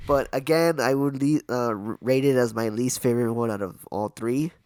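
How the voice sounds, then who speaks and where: A young man co-hosting a podcast, with a hoarse, raspy voice, chatting casually through a film review with quick asides. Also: mid-range voice, a little mellow, no room sound. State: hoarse from illness. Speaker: podcast co-host.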